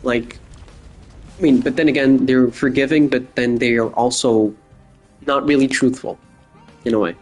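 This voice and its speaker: A young man with a faint Boston accent hosts a casual solo podcast, rambling in a crude, joking way with deadpan asides. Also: mid-range voice, moderate pace, pauses often, soft background music. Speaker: podcast host.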